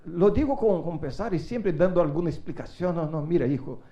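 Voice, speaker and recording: A middle-aged preacher whose first language is not Spanish delivers a sermon to a church congregation. His voice sits high but has a mellow, dark color. He is animated and swings between soft asides and loud calls for a response, recorded with a slightly muffled sound. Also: a little fast. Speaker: guest preacher.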